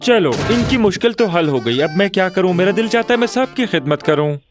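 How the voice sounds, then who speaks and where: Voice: medium pitch, expressive, brisk, over music, natural voice. An actor voicing a playful, whimsical and bossy animal leader in a dubbed children's cartoon, coaxing and exclamatory.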